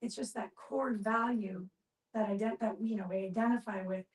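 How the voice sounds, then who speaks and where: A woman's voice: mid-range voice, steady tone, normal speed, far from the mic, low-quality recording. A woman speaking earnestly in a group discussion, explaining her point with encouraging emphasis.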